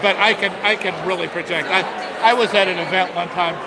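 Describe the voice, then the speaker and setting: A man's voice: sits high, fairly quick. A middle-aged man, a master distiller, telling stories expansively and with gestures at a tasting in a busy bar, filmed on a handheld phone. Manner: expansive, animated storyteller with gestures. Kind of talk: casual storytelling at a tasting. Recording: noisy crowded bar. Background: loud crowd chatter.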